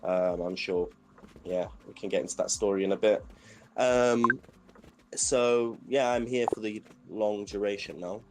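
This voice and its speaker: A man's voice: lowish voice, measured pace, noisy background. A British man in his thirties, a guest DJ, chatting casually and telling his story with lots of fillers. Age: 30s. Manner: relaxed storytelling with frequent fillers. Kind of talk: casual interview chat.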